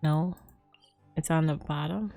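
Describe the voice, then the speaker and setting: Mellow, low-voiced woman streamer. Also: measured pace, vocal fry, faint music behind. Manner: giggly, chatty with viewers. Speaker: game livestreamer. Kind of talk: casual livestream chat while gaming. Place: livestream with game music behind.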